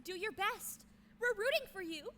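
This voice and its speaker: A doting mother, played by a woman in an audio drama, with a very high, bright voice. She gushes and fusses in lively swoops, with faint noise behind her. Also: moderate pace. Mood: fussing, loving. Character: doting, gushing, embarrassing mother cheering on her child.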